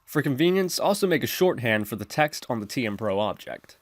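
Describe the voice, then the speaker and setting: A man narrating a software tutorial in an instructional, step-by-step manner. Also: medium pitch, big pitch swings, fairly quick, dry recording. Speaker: tutorial presenter.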